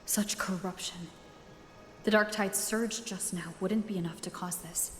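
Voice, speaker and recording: A woman playing a grave, observant adventurer in a video game, speaking in a measured, pensive way. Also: medium pitch, steady tone, soft background music, close to an everyday voice. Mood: concerned. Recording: echoey hall with ambient noise.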